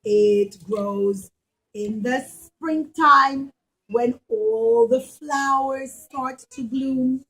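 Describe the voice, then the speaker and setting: High-voiced woman telling a children's story, unhurried. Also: fairly bright tone, husky, rough recording. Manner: slow, repetitive, simple teacherly phrasing. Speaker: puppet show storyteller for children.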